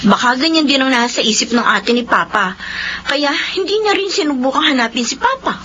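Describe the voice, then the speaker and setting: Animated, bright young daughter, high-voiced. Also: female voice, fairly quick. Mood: thoughtful. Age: young adult. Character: playful young daughter, teasing younger sister. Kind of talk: Filipino radio drama acting. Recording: dull lo-fi radio, background noise.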